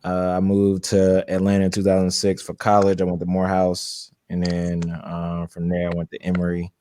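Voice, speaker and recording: A man in his thirties with a deep voice, calmly telling his background as an interview guest. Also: moderate pace. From Brooklyn, New York, raised in Charlotte, North Carolina.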